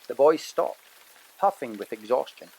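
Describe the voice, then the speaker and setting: A man narrating an audiobook in a dramatic storytelling manner, pausing for emphasis. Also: medium pitch, lively intonation, creaky, a little mellow, husky, no room sound, low-quality recording.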